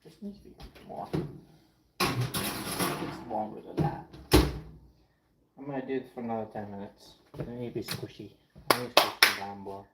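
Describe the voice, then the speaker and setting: A man's voice: on the low side, moderate pace, pauses often. A young man whose first language is Ukrainian/Russian, chatting casually with viewers as he cooks on stream, rambling between tasks. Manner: rambling and chatty, answering chat mid-task. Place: home kitchen. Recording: echoey kitchen with faint noise.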